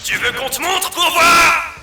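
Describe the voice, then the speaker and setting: A man's voice: extremely high pitch, unhurried, reverberant, raised voice, over loud music. A man voicing a brash, grumbling killer robot bear cub in an amateur video game dub. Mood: threatening.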